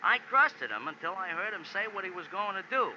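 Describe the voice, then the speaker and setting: An actor playing a little racetrack character who talks in stilted, formal slang in 1940s radio style. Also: male voice, sits high, very animated, brisk, sharp tone, heavily acted. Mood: indignant. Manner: stilted formal diction without contractions, slangy flourishes. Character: eccentric horse-loving racetrack character, little man, wordy and formal. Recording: dull, noisy 1940s radio recording.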